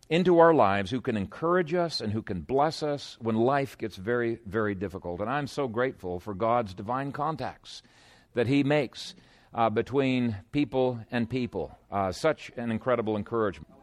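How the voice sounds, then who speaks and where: A man's voice: medium pitch, lively intonation, moderate pace, no echo. A man preaching an expository sermon in a conversational, explanatory way, moving between scripture reading and informal asides.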